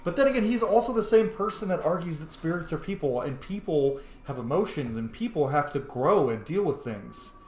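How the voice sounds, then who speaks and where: A man's voice: on the high side, lively intonation, fairly quick, few pauses, a little mellow, reverberant, slight background noise. A man giving casual commentary on a game he plays, informal and sweary, thinking aloud. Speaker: gamer commentating on his playthrough.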